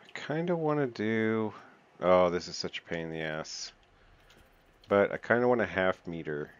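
A man with a deep, low voice gives relaxed let's-play commentary, counting and muttering to himself as he works. The recording is a little dull and muffled. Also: moderate pace, clear, resonant tone. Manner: counts and mutters while building, self-talk. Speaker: gaming channel host.

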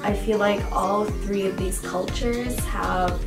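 A woman's voice: mid-range voice, fairly even intonation, slow. A young adult woman from the United States and Qatar, chatting casually as a guest. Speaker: guest roommate, university student. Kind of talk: casual chat. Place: small dorm room, indoors. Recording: roomy, distant mic, music behind.

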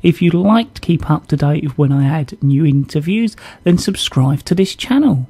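A man's voice: on the high side, animated, normal speed, mellow, slight background noise. A man with an English accent reading a community radio show's on-demand intro. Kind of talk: scripted announcement.

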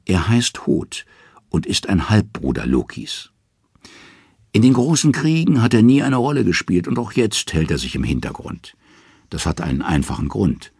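A man narrating a mythic tale in dramatic, scripted storytelling style with a gravelly, husky voice. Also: fairly low pitch, big pitch swings, moderate pace, a little mellow, dry recording, clean studio sound.

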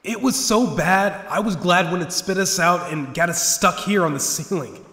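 An actor playing a bleak, sardonic survivor who has given up on living, in a sci-fi audio drama. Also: male voice, high voice, normal speed, sharp tone. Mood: horrified. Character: despairing, bitter male survivor resigned to death. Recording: echoey cavernous hall reverb.

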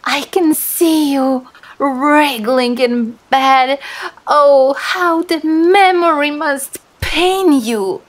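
A woman's voice: sits high, a bit bright, exaggerated voice. A woman playing a seductive demon who feeds on embarrassment, speaking in a teasing, theatrical way in ASMR roleplay style. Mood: mocking, delighted. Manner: teasing, theatrical, slow and drawn-out.

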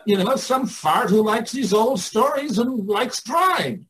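A man's voice: very high-pitched, lively intonation, a little slow, a bit bright, breathy edge. An older man giving informal one-on-one coaching in a blunt, emphatic way, thinking aloud as he goes. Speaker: artists' coach and mentor.

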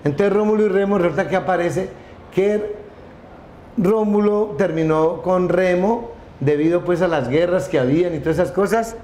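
A middle-aged man tells a long story as a guest, stumbling and restarting often. His voice sits a little high. The room gives it a noticeable echo, with faint noise underneath. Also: normal speed, mellow. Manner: rambling, many restarts and repeated words. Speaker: company founder, interview guest. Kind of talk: casual storytelling interview. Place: room with wooden wolf statue, indoors.

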